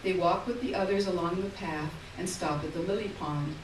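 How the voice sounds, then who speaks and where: A woman reading literary fiction aloud on stage in a lyrical, measured way, heard from far off in an echoey hall. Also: lowish voice, background noise. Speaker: reader. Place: theater stage.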